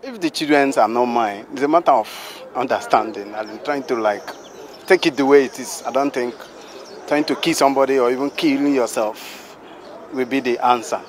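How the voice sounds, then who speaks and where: A man's voice: medium pitch, moderate pace. A young man answering a street interview hesitantly with many restarts into a handheld mic. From Nigeria. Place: city street outdoors. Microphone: handheld mic held by interviewer. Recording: crowd chatter behind.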